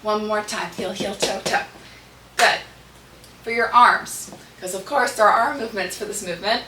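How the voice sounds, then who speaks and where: Slightly hoarse woman in an echoey room. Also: medium pitch, moderate pace. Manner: clipped rhythmic counting and cueing of moves. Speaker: dance tutorial host. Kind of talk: step-by-step dance instruction with counts. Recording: echoey room, distant mic, background noise.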